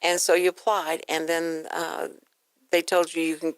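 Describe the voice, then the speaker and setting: A woman's voice: fairly low pitch, level tone, a little slow, dry recording. A woman hosting a casual radio interview, chatty and effusive with exclaiming reactions. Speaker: radio show host. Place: radio studio.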